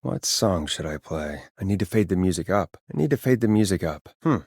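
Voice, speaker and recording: An actor playing a smooth, cheeky late-night rock radio DJ with a showy, theatrical announcer delivery in a video game. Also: male voice, sits low, lively intonation, brisk, a little mellow, no room sound, studio quality, heavily acted. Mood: muttering, puzzled. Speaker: radio host. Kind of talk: radio DJ patter and game voice lines.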